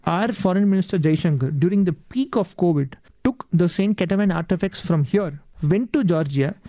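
A man's voice: high voice, lively intonation, moderate pace, mellow, dry recording. A man with an Indian accent, a native Tamil speaker, giving earnest interview answers and often saying "yes" and "ma'am". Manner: earnest, repeats words, addresses host as ma'am. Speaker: guest.

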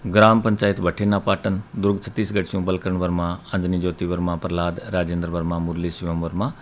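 Man, Rajasthani accent, radio host reading listener letters, low voice, reels off names. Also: flat delivery, brisk, slight background noise. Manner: reels off long lists of names and places. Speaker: radio request-show host.

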